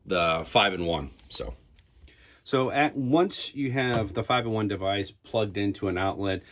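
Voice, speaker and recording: Deep-voiced man giving a product demo. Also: a bit bright. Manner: informal, explaining step by step. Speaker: product reviewer. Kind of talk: casual product demonstration.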